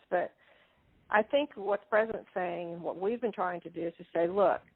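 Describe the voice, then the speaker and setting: A woman's voice: mid-range voice, no echo. Woman pressing politely over a tinny phone line. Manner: halting, polite but pressing. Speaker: lawyer. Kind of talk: persuasive phone conference argument. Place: phone conference call.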